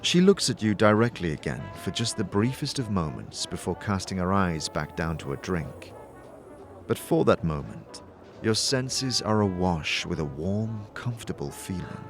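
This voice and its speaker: A man's voice: sits low, sing-song, normal speed, over music. A man narrating a scripted second-person story for an audio drama.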